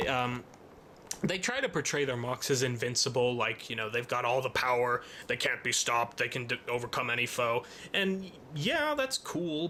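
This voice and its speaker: Guy doing a casual build how-to, kinda stop and start, bright voice, bit of noise behind him. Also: mid-range voice. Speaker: hobbyist presenter of a toy-building how-to. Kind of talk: casual tutorial narration. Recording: noticeable background noise.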